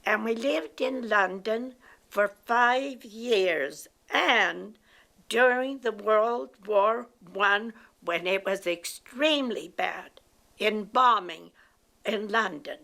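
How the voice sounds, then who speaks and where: A woman in her nineties from Belgium, reminiscing about her life in a slow, steady interview. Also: medium pitch, gravelly. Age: 90s. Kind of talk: personal life story told in an interview.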